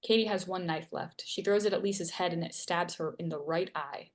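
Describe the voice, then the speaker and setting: A woman's voice: lowish voice, fairly quick. A woman narrating scripted action as the stage-direction reader at a live screenplay table read.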